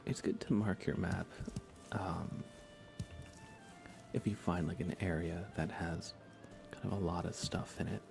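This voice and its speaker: A man hosting an ASMR gaming livestream, speaking in a breathy, hushed, reassuring whisper with some vocal fry. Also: low voice, steady tone, measured pace, pauses often, over music. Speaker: livestream host.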